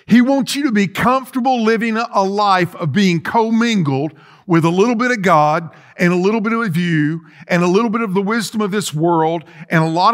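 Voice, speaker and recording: A middle-aged man preaching a sermon in a large church sanctuary, pacing and gesturing as he talks with earnest restarts. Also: sits high. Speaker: pastor. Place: large church sanctuary with rows of chairs, indoors.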